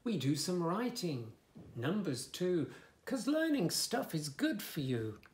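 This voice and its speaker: A man in his fifties reading a picture book aloud to young children, with expressive, sing-song delivery and soft asides. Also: fairly high pitch, slow pace. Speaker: children's story reader, host. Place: small furnished room indoors, bookshelves.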